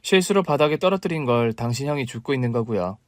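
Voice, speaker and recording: A man voicing an arrogant, mocking younger sister-in-law in a spoken story, whose sharp talk turns to stammering fear. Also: medium pitch, animated, fast talker, dry recording, studio quality, natural voice. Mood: scornful.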